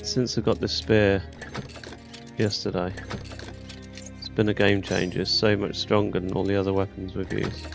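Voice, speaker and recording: A man's voice: sits low, level tone, moderate pace, creaky, pauses often, over music. A man giving casual, colloquial gameplay commentary, thinking aloud as he goes. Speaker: gaming YouTuber.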